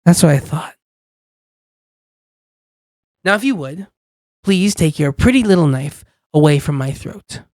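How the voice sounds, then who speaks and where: Smug demon contract-dealer, acted by an amateur performer, mid-pitched, dry intimate ASMR delivery, teasing and in control. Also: expressive, darkish tone, no room sound, close to an everyday voice. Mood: amused, condescending. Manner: teasing, coaxing, unhurried with lingering pauses. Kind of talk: ASMR roleplay, intimate scripted monologue.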